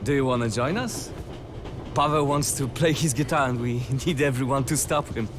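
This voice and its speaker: A friendly male soldier, voiced by a man in a game cutscene. He has a mid-pitched voice and a casual, joking way of talking, with clear noise around him. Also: moderate pace, close to an everyday voice. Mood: friendly, teasing. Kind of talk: video game cutscene acting.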